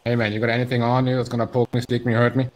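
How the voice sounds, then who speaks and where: A man's voice: medium pitch, monotone, racing, a little mellow. A man role-playing a police officer in an online game, talking in informal, procedural street-cop style.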